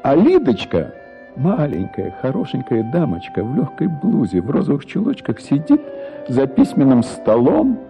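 An actor playing a worldly older gentleman who tells a story in a declamatory, animated way. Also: mid-range voice, normal speed, over music.

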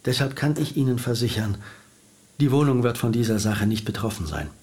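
An actor playing a formal, measured Swiss lawyer reading out a will in a radio drama. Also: male voice, mid-range voice, lively intonation, darkish tone, lightly acted. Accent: Swiss German. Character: formal Swiss lawyer man, precise and soothing.

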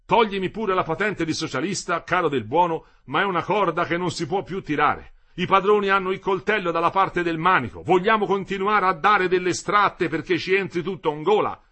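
A man's voice: high-pitched, brisk, few pauses, pushed and bright, voice pushed up. A man voicing a stubborn, fed-up striker in an audiobook, firm and protesting. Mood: exasperated. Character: stubborn, fed-up striker wanting to return to work. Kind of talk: dramatised audiobook reading.

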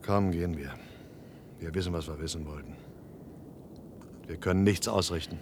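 An actor playing a sober, challenging man in a German radio play, speaking in a measured, serious, probing way. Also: deep voice, noisy background. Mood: resigned, bitter.

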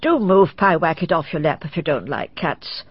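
A woman's voice: low voice, animated, normal speed, slight background noise. A sly old village witch. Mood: cryptic. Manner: cryptic, gossiping, rustic grammar. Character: old village woman who plays witch, sly, knowing. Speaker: village fortune teller. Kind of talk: radio drama acting. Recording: dull dry radio drama recording.

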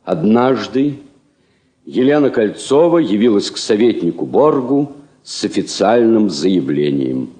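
A man's voice: lowish voice, sing-song, unhurried, mellow. A man narrating a radio drama from a script in a solemn, dramatic style. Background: faint music.

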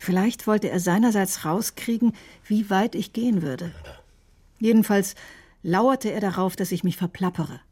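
An actress playing a watchful woman who narrates in the first person in a radio drama, husky and reflective. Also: mid-range voice, normal speed, warm tone, natural voice. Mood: wary, reflective. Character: watchful woman recounting a tense encounter.

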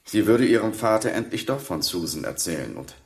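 Very low-voiced probing detective. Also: female voice, very animated, brisk, full character voice. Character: probing police detective questioning a suspect. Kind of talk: German radio crime drama acting.

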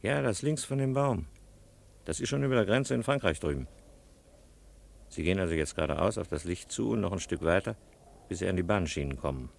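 Furtive border policeman for radio drama: very low, creaky, quietly giving orders. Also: animated, brisk, pauses often, exaggerated voice. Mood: furtive, directing. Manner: hushed, instructing. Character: furtive, self-assured Belgian border policeman. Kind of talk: German radio play acting.

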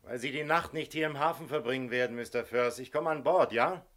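A wily police inspector in a radio crime play, played by a male actor, with a mid-pitched voice. He is courteous and formal, choosing his words deliberately, firm and politely menacing. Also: a bit bright, slight background noise, lightly acted. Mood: dry, firm. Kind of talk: radio crime drama acting.

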